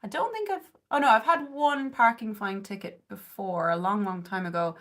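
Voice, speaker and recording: A woman's voice: medium pitch, moderate pace, a bit bright. An Irish woman in her thirties talks through her makeup on a live stream from her bedroom, close to the camera. She rambles easily with plenty of "like" and "um" and chats with her viewers as she goes. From southern Ireland. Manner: chatty and rambling, with frequent "like" and "um"; coos at her cat. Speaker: beauty livestreamer. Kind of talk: casual chat while doing makeup, reading viewer comments. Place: bedroom at home, indoors. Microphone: webcam or phone camera at close range.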